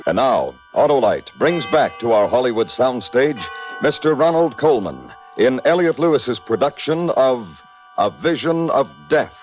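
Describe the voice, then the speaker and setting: Formal male radio announcer over loud music. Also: medium pitch, lively intonation, measured pace. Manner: formal, emphatic. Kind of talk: 1940s-50s radio announcing, dramatic scripted introduction. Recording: dull old radio recording, loud music.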